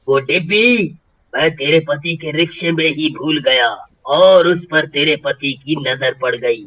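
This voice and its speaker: A man voicing a solemn, all-knowing forest mystic in a cartoon story, speaking in a grave, measured way. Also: a little high, sharp tone, full character voice, poor audio.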